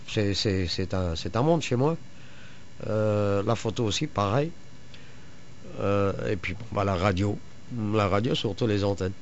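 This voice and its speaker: A man chatting casually as an amateur radio operator, halting often as he searches for words. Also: fairly low pitch, a little mellow, dull audio, slight background noise. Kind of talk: casual chat over radio.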